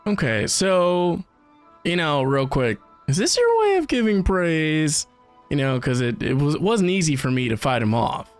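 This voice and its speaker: A man's voice: fairly high pitch, sing-song, measured pace, soft background music. A man reacting casually as he plays through a video game, with short interjections and comments.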